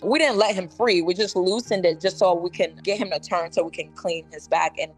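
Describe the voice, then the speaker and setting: Young woman from ghana talking casually in an interview, says like a lot, kinda low pitched, soft music behind. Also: brisk, rough recording. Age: 20s. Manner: chatty, many "like" and "you know" fillers. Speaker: nurse, guest.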